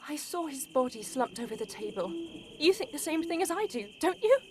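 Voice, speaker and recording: An actress plays an anxious, earnest servant woman in a 1940s radio chiller. Her voice is extremely high and animated, insisting dramatically, with noticeable noise behind it. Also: fairly quick. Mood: urgent. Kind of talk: 1940s radio horror acting. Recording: noisy background, insect-like ambience.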